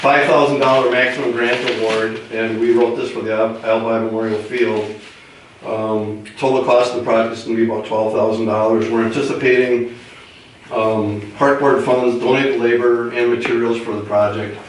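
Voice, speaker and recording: An American man with a voice roughened by a cold, informally presenting to a meeting. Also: mid-range voice, little pitch movement, normal speed. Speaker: grant presenter at council meeting. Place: indoor meeting room, far mic. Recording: echoey room, distant mic, faint noise.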